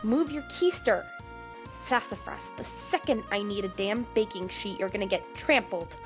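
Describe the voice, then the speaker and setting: A woman's voice: medium pitch, lively intonation, normal speed, music in the background, lightly acted. Brusque, irritable female chef, played by a woman. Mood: bossy, exasperated. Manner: brusque, sarcastic, barking folksy curses. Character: gruff, irritable, bossy female head chef with contempt. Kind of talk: audio drama character acting.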